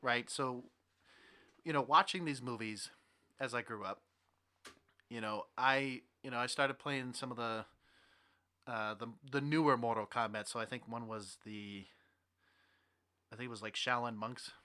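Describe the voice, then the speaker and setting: A young man hosting a podcast with casual, animated, rambling banter about movies and games. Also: mid-range voice, unhurried, pauses often. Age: young adult. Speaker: podcast host.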